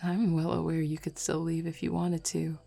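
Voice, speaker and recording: A woman voicing a flirty, self-assured female werewolf in an intimate roleplay audio, teasing softly and without hurry. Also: low voice, completely flat delivery, normal speed, gravelly, soft background music.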